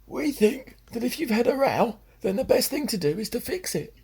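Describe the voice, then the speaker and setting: Woman acting a very husky, mellow advice-giver. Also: a little high, big pitch swings, moderate pace, full character voice. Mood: advising. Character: calm advice-giving female passenger. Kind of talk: amateur radio drama acting.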